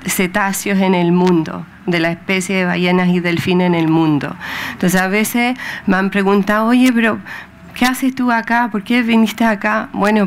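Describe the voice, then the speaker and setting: A woman in her thirties from England, a marine researcher giving a public talk in a lecture hall, speaking with frequent pauses, fillers and tag questions. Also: medium pitch, a little hiss or hum. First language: English. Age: 30s.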